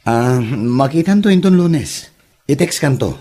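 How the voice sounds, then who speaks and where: Actor as husky, sweet-talking young womanizer. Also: a little high, expressive, unhurried, warm tone. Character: jobless, sweet-talking young womanizer son, evasive, cash-strapped. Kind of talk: Ilocano comedy radio drama acting.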